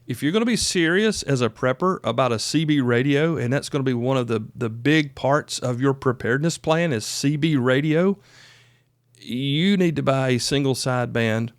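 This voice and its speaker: A man's voice: medium pitch, no echo, studio quality. A man hosting an informal training show, explaining things in a plain-spoken, folksy, conversational way. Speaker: host of a prepper radio training show. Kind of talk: informal instructional talk.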